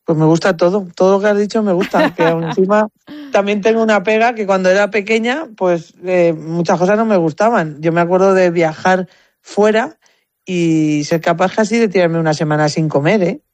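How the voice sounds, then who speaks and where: A woman's voice: fairly low pitch, normal speed, a little mellow, no room sound. A woman with a Spanish accent from Spain, talking casually and anecdotally as an interview guest, often repeating words as she thinks. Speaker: competitive shooter, interview guest. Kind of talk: casual conversational interview answers.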